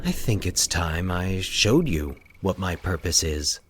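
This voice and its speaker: Man playing a cold, low-voiced lurking killer. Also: expressive, a little slow, noisy background. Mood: cold, menacing. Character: cold, menacing male killer lurking at night.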